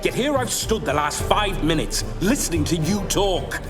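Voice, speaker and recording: A man's voice: high-pitched, expressive, normal speed. An actor playing a boastful, lecherous male ghost in a video game, with a swaggering, mocking delivery. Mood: indignant. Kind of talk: video game dramatic acting. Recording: distant voice under loud music.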